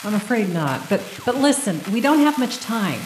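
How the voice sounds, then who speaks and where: An actress in a radio play playing an earnest, businesslike government special agent. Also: female voice, medium pitch, very animated, normal speed, a little mellow, natural voice. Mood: urgent. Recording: echoey room with clear background noise.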